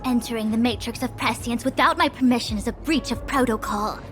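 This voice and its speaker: Woman doing a proud stern diviner girl from a game, high voice, formal and bossy, music behind her. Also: a little fast, close to an everyday voice. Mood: formal, composed. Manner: formal, imperious, crisp wording. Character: proud, stern young female master diviner in command. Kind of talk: dramatic video game voice acting.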